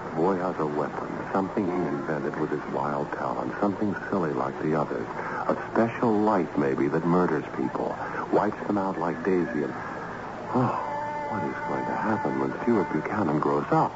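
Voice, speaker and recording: A man's voice: very deep voice, animated, normal speed. An actor playing a frightened but determined man hunting someone dangerous in an old-time radio drama. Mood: anxious, brooding. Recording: muffled, noisy old radio recording.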